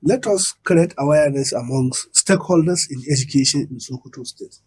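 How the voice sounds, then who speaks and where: A middle-aged man with a Nigerian accent and a husky voice, methodically explaining his points as an expert guest in a broadcast interview. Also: medium pitch, fairly quick, darkish tone.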